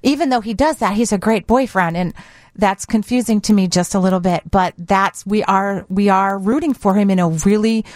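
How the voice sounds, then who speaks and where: A woman's voice: medium pitch, moderate pace, a little mellow, dry recording, studio quality. A middle-aged woman chatting casually as a radio co-host in an interview. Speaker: radio show co-host, guest in studio. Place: radio studio. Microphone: close studio microphone.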